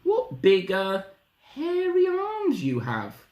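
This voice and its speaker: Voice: mid-range voice, huge pitch swings, takes it slowly, darkish tone, pitched above the performer's own voice. A storyteller voicing a sweet, innocent little girl with a polite, wide-eyed manner. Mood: wary, puzzled. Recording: roomy, echoing room.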